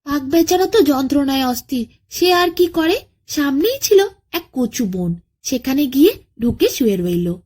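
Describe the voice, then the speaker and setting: A woman narrating a children's bedtime folk tale as a storyteller, in an expressive, dramatic way. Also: high voice, normal speed.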